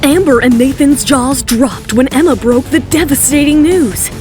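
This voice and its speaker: Female narrator for audio drama, high register, dramatic scripted read, light music bed. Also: normal speed, darkish tone.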